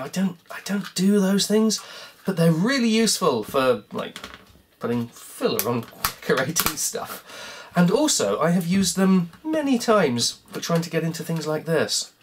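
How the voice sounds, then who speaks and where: Croaky tinkerer talking to themself. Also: medium pitch, a little hiss or hum. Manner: rambling, self-mocking running commentary. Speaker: hobbyist repair vlogger. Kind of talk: thinking aloud while taking apart a cassette player.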